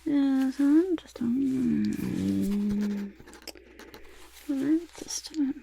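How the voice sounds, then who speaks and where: Woman doing a creaky, unhurried ASMR goblin artist. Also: high voice, expressive, dark tone, a little hiss or hum, heavily acted. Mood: proud, secretive. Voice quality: creaky voice, often dropping into breathy whisper. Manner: chatty, boastful, third-person self-talk, halting murmurs. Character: eccentric, self-satisfied goblin artist fawning over a client. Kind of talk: ASMR roleplay, personal attention.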